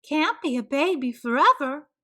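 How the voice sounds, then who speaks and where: Storybook busybody neighbor, older lady, prim and nosy, high bright voice, put on by a woman reader. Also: very animated, normal speed, exaggerated voice. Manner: nosy, prim, know-it-all.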